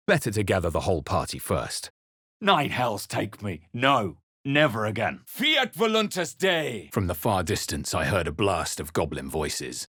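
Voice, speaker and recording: A man playing a brooding, battle-hardened adventurer in a fantasy game, with a husky voice and a theatrical, measured delivery. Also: fairly low pitch, fairly bright tone, no echo, clean studio sound, heavily acted. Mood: irritated, then incanting, forceful. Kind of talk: dramatic fantasy game voice lines and first-person narration.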